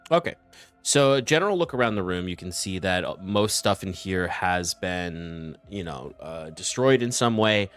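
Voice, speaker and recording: A man narrating as game master of a tabletop game, relaxed and laughing often, with many fillers. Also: deep voice, moderate pace, soft background music.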